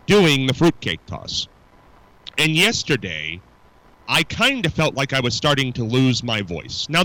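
A man's voice: medium pitch, sharp tone, no room sound, low-quality recording. A man hosting a local radio talk show, chatting casually and rambling with restarts.

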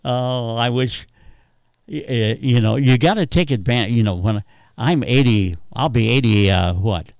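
A man hosts a radio call-in show, chatting and reminiscing in a halting, rambling, easygoing way. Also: medium pitch, gravelly, darkish tone, smooth, no room sound. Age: 80s. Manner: rambling, halting, chuckling storyteller. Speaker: radio talk host taking callers. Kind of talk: casual, unscripted reminiscing and chat.

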